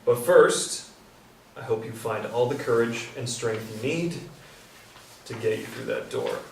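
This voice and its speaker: An actor playing a friendly, plain-spoken carpenter in a staged play reading. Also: male voice, medium pitch, unhurried, vocal fry, darkish tone. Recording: roomy distant stage recording, faint noise.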